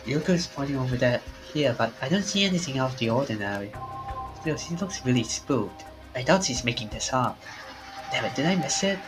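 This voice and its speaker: A man's voice: medium pitch, expressive, a little fast, close to an everyday voice, rough recording. A man voicing a frightened young man whose friend has collapsed, reading a visual novel aloud and stammering in panic, with game music behind. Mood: uneasy. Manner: stammering, panicky, then quietly resolved.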